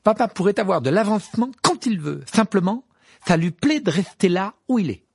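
A man's voice: fairly high pitch, sing-song, normal speed, creaky, breathy edge, no echo, voice pushed up, heavily acted. A man voicing a hot-tempered teenage wizard boy who snaps back angrily. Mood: indignant. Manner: indignant, snapping.

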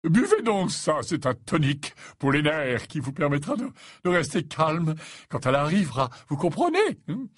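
A man voicing a jovial, fussy older potions professor in an audiobook, flattering, stammering and repeating himself. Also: fairly high pitch, normal speed, no echo, studio quality, pitched above the performer's own voice. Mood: soothing. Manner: stammering, repeats himself, tacks on questioning tags.

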